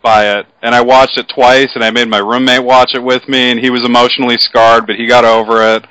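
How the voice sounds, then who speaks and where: A man's voice: mid-range voice, little pitch movement, fairly quick, sharp tone, smooth, dry recording, dull audio. A thirty-year-old man, a podcast co-host chatting casually from his condo, laid-back and swearing freely. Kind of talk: casual chat.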